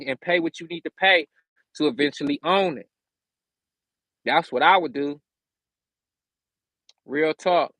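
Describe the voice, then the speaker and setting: An American man from California hosts a casual livestream. His voice sits a bit high for a man and sounds dry, with little room around it. He gives advice in loose, slangy, conversational phrasing and repeats key phrases for emphasis. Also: fairly bright tone, dry recording. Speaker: livestream host giving self-sufficiency advice.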